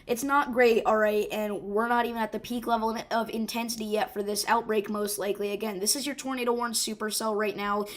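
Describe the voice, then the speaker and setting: A woman hosting a live severe weather stream, her voice hoarse and rough, talking urgently and informally with many fillers and restarts. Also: on the high side, fairly even intonation, a little fast, few pauses. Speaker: severe weather livestream host.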